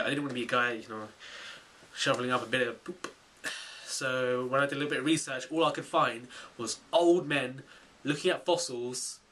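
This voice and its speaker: A young man in his twenties talks to his camera in a small bedroom, his voice very bright and lively. He rambles casually, correcting himself as he goes. The camera sits a little back from him, and a faint hiss hangs under his voice. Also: medium pitch, recorded from a distance. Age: 20s. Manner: chatty and animated, many fillers and self-corrections. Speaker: vlogger, zoology student. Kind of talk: casual vlog chat to camera.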